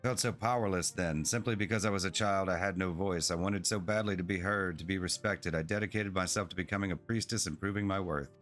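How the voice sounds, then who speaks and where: Dude reading a serious little girl priestess, low voice, earnest. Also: a little fast, hardly any pauses, soft background music. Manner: reads long dialogue aloud in an even, sincere tone. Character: earnest young girl priestess, anxious to prove herself.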